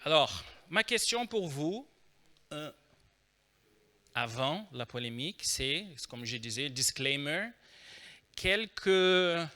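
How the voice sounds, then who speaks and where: A middle-aged man with a Brazilian Portuguese accent gives a conference talk in a bright, clear tone and at an unhurried pace. He jokes with the audience and hesitates often, with fillers. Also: fairly high pitch, sing-song. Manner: joking, hesitant with many fillers. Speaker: conference speaker.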